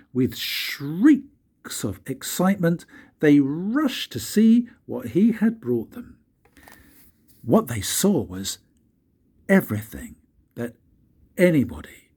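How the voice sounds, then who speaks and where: Older man, children's storybook reading, very animated intonation, unhurried pace, dramatic pauses. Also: on the high side, husky. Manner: expressive, deliberate, with dramatic pauses. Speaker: grandfather reading stories for his grandchildren.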